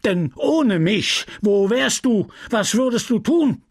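A man voicing a pompous middle-aged pharmacist in a furious, breathless rage, with a husky voice. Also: unhurried, dry recording, clean studio sound. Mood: furious ranting. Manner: ranting, shrill, breathless tirade.

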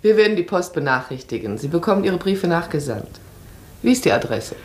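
A firm, perceptive aristocratic baroness in a radio drama. Her voice sits a little low but moves widely in pitch. She speaks eloquently and decisively, with clear emphasis. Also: sing-song, brisk. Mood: polite, practical. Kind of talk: radio play acting.

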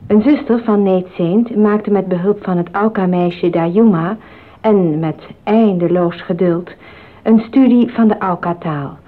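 A woman narrating a scripted documentary drama in a solemn style. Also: mid-range voice. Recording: narrow phone-like old radio sound.

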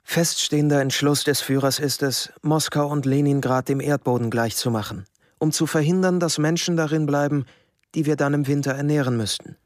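A man voicing a bureaucratic Nazi ministry official in a radio drama, reading in a flat, matter-of-fact way. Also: a little high, moderate pace, no room sound, clean studio sound, natural voice.

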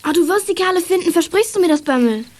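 A woman's voice: very high voice, animated, quick pace, a little mellow. A woman playing an anxious, earnest young girl in a children's audio drama. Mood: pleading. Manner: earnest, pleading, girlish.

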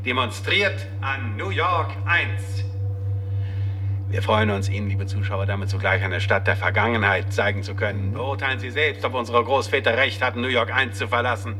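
An actor playing a slick, cheery television presenter of the future in a German radio play, chattily pitching products to viewers. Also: male voice, moderate pace, sharp tone, lightly acted. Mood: gushing promotion. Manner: gushing, chatty showman addressing viewers. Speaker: television show host. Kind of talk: 1960s-90s German radio play, mock broadcast patter. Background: music.

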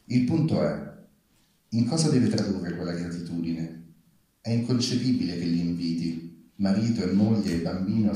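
Male reader for literary prose, low and dark in tone, in a heavily reverberant hall. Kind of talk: reading literary prose aloud. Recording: very echoey live hall.

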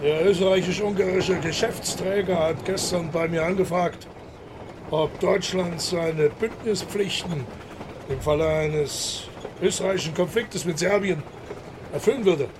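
An actor playing an imperious, dismissive German emperor in a historical radio drama, with a commanding, curt delivery. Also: male voice, high voice, measured pace, background noise. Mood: self-assured.

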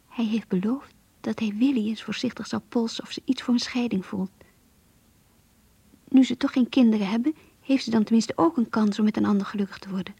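A shy young woman confiding her diary in a radio drama. Her voice is very breathy and husky, often near a whisper, with a soft mellow tone. She is hesitant and yearning, recorded close and dry. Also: mid-range voice, dry recording. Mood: worried.

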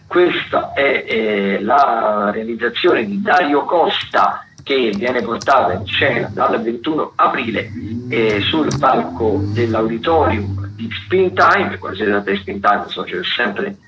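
A man's voice: medium pitch, lively intonation, unhurried, pushed and bright. A man presenting theatre listings on radio in a semi-improvised, stop-and-start way, often repeating words. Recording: muffled, noisy community radio broadcast.

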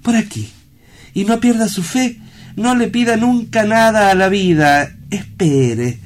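A man's voice: sits high, a little hiss or hum. A man playing a kindly, paternal sanatorium director in an audio drama, speaking formally and courteously to reassure. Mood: reassuring. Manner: formal, courteous, measured phrasing. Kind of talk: theatrical audio drama acting.

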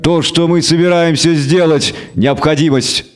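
A man voicing a proud, cold elf leader who speaks gravely and deliberately, in a fantasy audiobook. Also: on the high side, steady tone, pitched above the performer's own voice, close to an everyday voice. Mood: agitated.

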